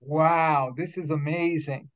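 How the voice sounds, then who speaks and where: A man's voice: on the high side, fairly even intonation, takes it slowly, muffled recording. A man hosting a talk show, speaking in a formal, upbeat, carefully enunciated way. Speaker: radio show host. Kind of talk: scripted show introduction and interview.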